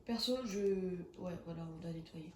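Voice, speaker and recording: A woman's voice: on the low side, moderate pace, a little mellow, roomy sound, slight background noise. A young woman with a cold, chatting casually and reacting with jumpy exclamations as she plays a horror game. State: has a cold. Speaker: gaming streamer. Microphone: phone.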